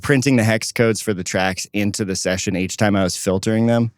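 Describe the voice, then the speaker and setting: A man's voice: lowish voice, fairly even intonation, a little fast, dry recording. A man talking casually and conversationally as co-host of a podcast.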